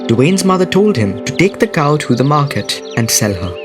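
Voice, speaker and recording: Male storyteller, children's story narration, animated intonation, music behind. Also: a little high, moderate pace.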